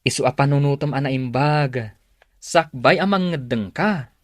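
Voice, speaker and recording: A man with an Ilocano accent acting a blunt, animated best friend in a radio drama. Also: mid-range voice, unhurried, dry recording, clean studio sound, natural voice. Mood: teasing, warning.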